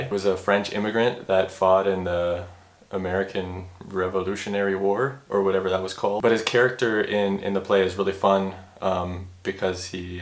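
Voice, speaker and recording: Fairly low male vlogger, casual and self-deprecating, stumbling over restarts. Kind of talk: casual vlog talk to camera. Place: room at home. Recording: roomy echo with faint music.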